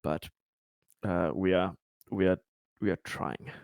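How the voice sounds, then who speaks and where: A man's voice: deep voice, steady tone, vocal fry, a little mellow, clear, resonant tone, no echo, studio quality, full character voice. A man playing a stressed, paranoid corporate director in a tabletop roleplay, stammering and swearing. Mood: uneasy. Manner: halting, stammering, sweary, weary sighs. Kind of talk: improvised tabletop roleplay dialogue.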